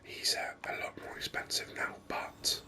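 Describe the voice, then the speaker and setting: A man speaks entirely in a very bright, sibilant whisper. He narrates a video game ASMR-style, breathy and close to the mic. His delivery is unhurried, as if thinking aloud. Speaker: gaming video host.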